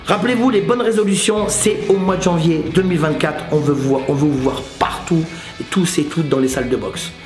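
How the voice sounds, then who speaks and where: A man's voice: fairly high pitch, a little fast, roomy sound, soft background music. A man, giving informal, joking motivational advice as a Muay Thai coach and video host. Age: late 50s. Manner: joking, clownish, colloquial.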